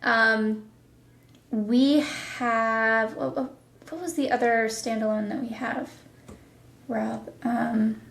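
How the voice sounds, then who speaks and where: A woman's voice: a little high, steady tone, takes it slowly, vocal fry, a bit bright. A woman chatting casually as a guest in a podcast interview. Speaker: guest, audio drama producer and voice actor. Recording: roomy, echoing sound.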